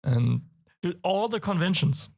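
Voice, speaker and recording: Man, German accent, raspy, hoarse, young adult, excitable podcast co-host, fairly high voice. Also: unhurried. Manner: laughs often, excitable, swears casually. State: hoarse from a cold. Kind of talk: casual chat.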